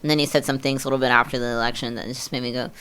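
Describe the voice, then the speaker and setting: A woman's voice: very deep voice, steady tone, very fast, fairly bright tone, clear, resonant tone. A woman in her early thirties chatting casually as a podcast guest, with blunt, slangy talk full of swearing. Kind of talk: casual chat.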